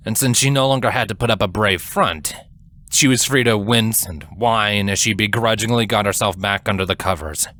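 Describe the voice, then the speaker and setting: A man reading scripted dramatic fiction as a narrator, in a measured, solemn storytelling manner. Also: on the low side, sharp tone.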